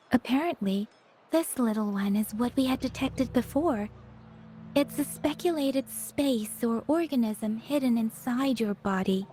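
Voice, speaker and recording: Woman voicing a calm, high-voiced explainer. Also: slight background noise, close to an everyday voice. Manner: measured, explanatory, unhurried. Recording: game dialogue with soft music.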